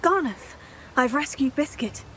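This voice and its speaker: An actress playing a composed teenage witch student in a video game, speaking in a polite, measured way. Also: high-pitched, expressive, sharp tone, a little hiss or hum, full character voice. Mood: reassuring. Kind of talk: video game character acting.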